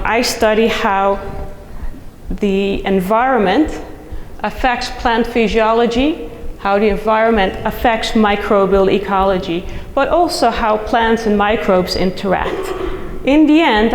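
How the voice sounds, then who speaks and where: A middle-aged woman from the Netherlands with a Dutch accent, giving an enthusiastic, chatty conference talk as a soil scientist, full of fillers and restarts. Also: mid-range voice. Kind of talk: conference presentation. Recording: echoey hall recording, faint noise.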